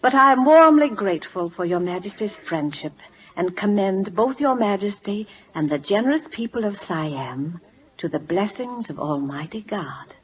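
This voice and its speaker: An actress playing an earnest, spirited governess at a royal court in a 1940s radio drama, polite and well-spoken. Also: female voice, medium pitch, lively intonation, normal speed, lightly acted. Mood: formal. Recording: dull lo-fi old radio recording.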